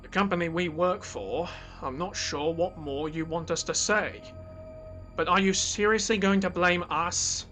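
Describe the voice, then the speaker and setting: A guarded spaceship captain, played by a man in a sci-fi audio drama. The voice is fairly high for a man and forceful, with a blunt, skeptical way of talking. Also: unhurried, soft background music. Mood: defensive.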